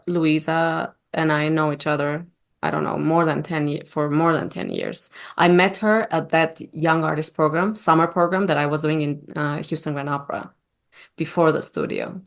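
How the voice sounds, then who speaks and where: A woman from Armenia, speaking English as a guest in a casual interview and often pausing to search for words. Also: low-pitched, creaky. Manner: warm, searching for words with many fillers. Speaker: guest opera singer.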